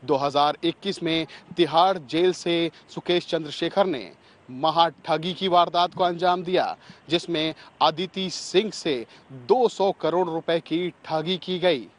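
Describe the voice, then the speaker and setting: Higher-pitched male news reporter. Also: unhurried.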